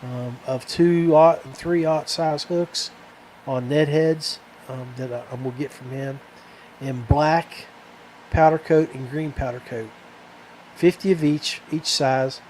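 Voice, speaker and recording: A man hosting a fishing podcast in a casual, rambling, halting way that often trails off. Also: a little high, fairly even intonation, darkish tone, slight background noise, poor audio.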